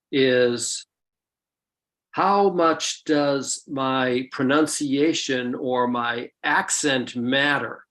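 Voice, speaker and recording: A co-host who reads viewer questions aloud in a brief, plain way. The pace is slow and the pitch sits in the middle. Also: a bit bright.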